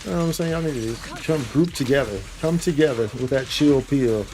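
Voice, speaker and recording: A man's voice: mid-range voice, fairly quick, background noise. A man giving casual, slangy live gameplay commentary, repeating phrases and tossing in playful vocal sound effects. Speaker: game livestreamer.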